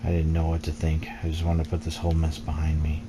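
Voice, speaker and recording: A man reading a first-person story aloud in a measured, brooding, dramatic way, as narration for a video game playthrough. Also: extremely low pitch, completely flat delivery, very noisy. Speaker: let's play gamer reading game text aloud.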